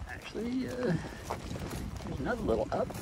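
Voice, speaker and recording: A man's voice: sits high, sing-song, normal speed, very noisy. A man thru-hiking and vlogging casually as he walks a forest trail, speaking plainly with dry humor and thinking aloud. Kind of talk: casual vlog narration while hiking. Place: foggy forest trail outdoors. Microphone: body-mounted camera.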